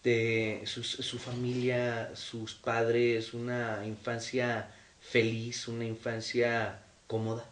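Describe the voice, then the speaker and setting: A man's voice: mid-range voice, completely flat delivery, slow pace, a little hiss or hum. Formal Mexican male interviewer, echoey and distant. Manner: formal, polite address. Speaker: television interviewer. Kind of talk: conversational interview. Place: interviewee's home, indoors. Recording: echoey room, distant microphone.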